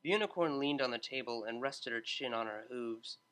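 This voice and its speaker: A man narrating a fan-written story in a steady, measured reading. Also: mid-range voice, smooth. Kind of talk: fanfiction audiobook narration.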